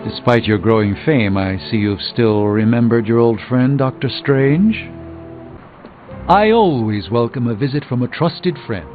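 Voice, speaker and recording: A man's voice: medium pitch, lively intonation, a little slow, natural voice. A man playing a proud, wry sorcerer and mentor in a video game, speaking in a formal, articulate, dryly pompous way. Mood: courteous, dry. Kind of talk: scripted video game dialogue. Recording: phone-like narrow band, music behind.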